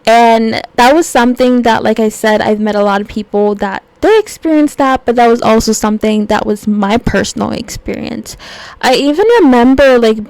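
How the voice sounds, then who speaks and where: Young woman podcast host, gravelly edge, dry close sound, earnest and chatty. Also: a little high, darkish tone, no room sound, poor audio. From United States. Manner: casual, filler-heavy, earnest and reflective. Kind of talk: solo podcast monologue.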